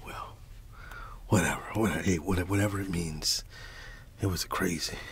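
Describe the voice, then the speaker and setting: A man performing an ASMR roleplay as a laid-back, teasing flatmate, speaking in a hushed, casual, slangy way with repeated phrases. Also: low voice, normal speed, vocal fry, slight background noise. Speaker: ASMR performer playing a roommate. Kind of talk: ASMR roleplay, whispered storytelling and one-sided chat.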